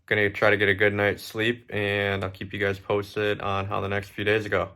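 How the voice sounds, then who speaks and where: A man talking casually to camera for a vlog in a low-key, plain-spoken way. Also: completely flat delivery, normal speed, bright, forceful tone, dry recording, studio quality. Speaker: vlogger, pro hockey goaltender.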